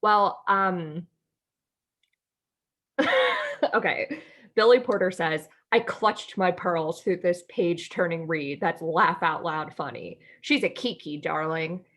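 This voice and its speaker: A woman's voice: on the low side, slow pace, a bit bright. An American woman co-hosting a podcast in casual, friendly chat, informal and giggly, wandering off into asides. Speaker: podcast co-host.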